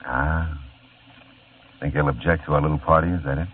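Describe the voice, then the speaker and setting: An actor playing an easygoing, wisecracking private detective who talks in a dry, relaxed way and narrates the story. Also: male voice, extremely low pitch, moderate pace, slight background noise. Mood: easy charm. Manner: dry, laid-back, wry. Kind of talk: 1940s radio acting. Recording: tinny old radio broadcast with loud music.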